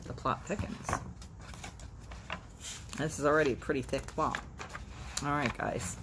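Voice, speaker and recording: A woman's voice: very deep voice, measured pace. A woman hosting storytime, reading expressively and dropping in playful, sardonic, chatty asides. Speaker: storytime host. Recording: faint background noise.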